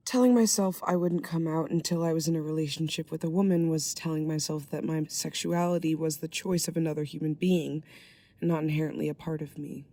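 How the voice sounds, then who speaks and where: A woman reading a dramatic monologue in an earnest, deliberate and emphatic way. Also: deep voice, fairly even intonation, warm tone, no room sound. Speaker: monologue reader.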